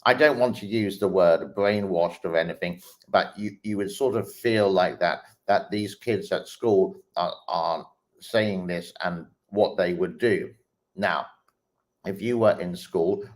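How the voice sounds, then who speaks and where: Casting: deep-voiced male host, unhurried, halting reaction commentary. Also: darkish tone. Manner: slow, hesitant, many ums and restarts. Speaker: host reacting to online clips. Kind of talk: casual commentary while scrolling a feed.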